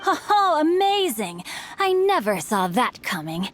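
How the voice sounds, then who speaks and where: A woman's voice: high-pitched, sing-song, takes it slowly, soft background music, exaggerated voice. An actress playing an earnest, courteous teenage boy swordsman with formal, florid, theatrical speech in an anime game. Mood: absorbed.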